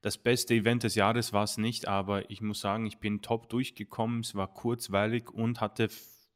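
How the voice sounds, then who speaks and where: A man, co-hosting a podcast in casual chat, halting and full of ums as he thinks aloud. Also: on the low side, flat delivery. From Austria. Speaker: podcast co-host.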